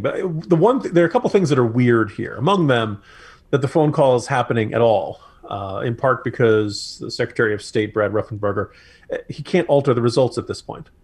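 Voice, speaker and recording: An American man delivers opinionated political commentary as a podcast co-host. His voice is a little rough around the edges and sits at an ordinary male pitch. He speaks emphatically, with a sardonic, mocking edge.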